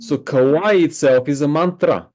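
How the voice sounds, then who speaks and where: A man who teaches meditation, answering interview questions in a slow, reflective way and often checking in with "right?". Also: a little high, a little mellow. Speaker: spiritual teacher. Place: home on a Hawaiian island.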